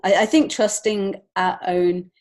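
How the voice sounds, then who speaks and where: A woman chatting casually as a guest in an interview, with a candid, expressive and giggly manner. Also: medium pitch, a little slow. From lives in Montreal, Canada. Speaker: guest, children's author and illustrator.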